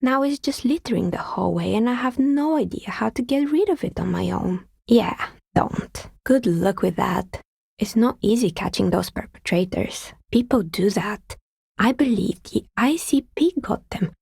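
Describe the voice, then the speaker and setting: An actress playing a wry, world-weary artisan dicemaker in a video game, speaking in a dry, understated, matter-of-fact way with a husky, breathy voice. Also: female voice, mid-range voice, animated, measured pace, a little mellow, dry recording, exaggerated voice. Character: wry, world-weary woman artisan who makes novelty dice. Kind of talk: video game character dialogue.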